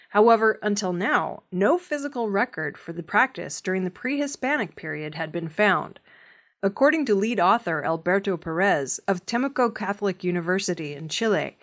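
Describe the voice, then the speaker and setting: A woman reading scripted news stories as the presenter of an audio newscast. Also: mid-range voice. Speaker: news reader.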